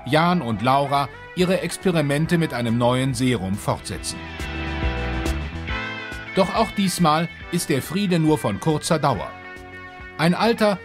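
A man narrating a radio play introduction in a dramatic, suspenseful scripted style with measured phrasing. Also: on the high side. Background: music.

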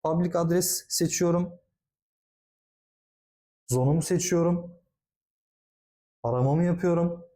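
A man with a slightly high, soft-edged voice presents a product demonstration. He is polite and formal, walking through each step in an orderly, explanatory way. The tone is mellow and relaxed. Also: slow, pauses often.

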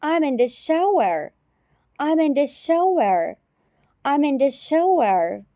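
A woman teacher repeating short phrases slowly and carefully in a repetition drill. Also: sits high, sing-song, warm tone, no room sound, studio quality. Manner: repeats each phrase three times, carefully.

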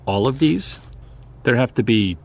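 An earnest male investigator, played by an actor in an audio drama. His voice has plenty of gravelly fry at an average pitch. He talks in a musing, conversational way, cautious and a little wry. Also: lively intonation, measured pace. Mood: intrigued. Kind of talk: naturalistic audio drama acting, recorded field-log monologue and dialogue.

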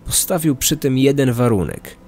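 A man narrating a scripted true-crime podcast in a measured, articulate manner. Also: mid-range voice, very animated, darkish tone, faint music behind.

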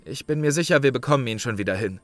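A man's voice: medium pitch, animated, fast talker, fairly bright tone, no room sound, faint music behind, lightly acted. An actor playing a sober, pragmatic male survival contestant in a horror audio drama, speaking matter-of-factly. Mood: calm, pragmatic. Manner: matter-of-fact.

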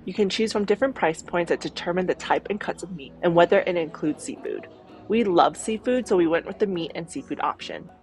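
Upbeat woman narrating a travel vlog. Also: fairly low pitch. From Taiwan. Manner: informative, upbeat tour-guide narration. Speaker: travel vlogger. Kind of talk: scripted travel voice-over narration. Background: faint background music.

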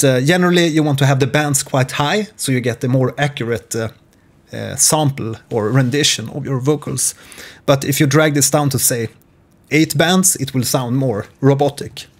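Guy in his 40s doing a music software tutorial, mid pitched voice, instructional, casual screen share talk. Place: small home studio room, indoors.

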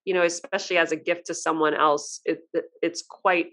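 A woman's voice: lowish voice, steady tone, a little fast, a bit bright. A young woman podcast host, agreeably adding a thought in casual chat over a video call. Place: home office, indoors.

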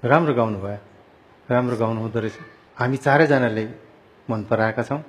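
Very fast-talking man, a polite coach. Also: medium pitch. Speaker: coach on a TV singing competition. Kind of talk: polite, brief judge's feedback. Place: large indoor TV studio stage set. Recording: faint studio background noise.

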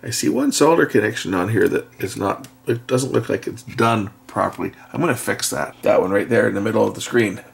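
A middle-aged man giving a casual hands-on narration as an electronics repair presenter, speaking haltingly as he thinks aloud at an indoor workbench. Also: on the low side, gravelly. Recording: faint background noise, workbench clicks. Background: faint noise, clicks of handled parts.

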